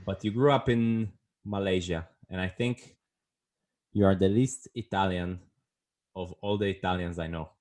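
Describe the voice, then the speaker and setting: Low-voiced, joking podcast host man. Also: steady tone, normal speed, a little mellow. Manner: casual, joking, teasing, swears freely. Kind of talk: casual podcast interview.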